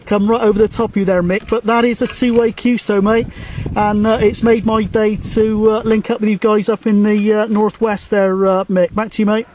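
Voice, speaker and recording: High-pitched man chatting on CB radio outdoors. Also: little pitch movement, moderate pace, a little mellow, a little hiss or hum. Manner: hesitant, matey, uses radio jargon. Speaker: CB radio hobbyist, video host. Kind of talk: casual radio chat and outdoor vlog. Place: outdoors on a mountain.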